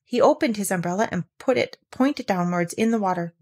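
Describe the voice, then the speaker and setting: A woman reading a children's story aloud as an expressive narrator. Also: medium pitch, brisk, husky.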